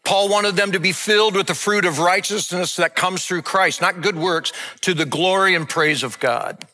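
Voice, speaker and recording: A man in his sixties, a lead pastor, giving a sermon and announcement in an earnest, measured, heartfelt way. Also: sits high, pushed and bright. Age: 60s.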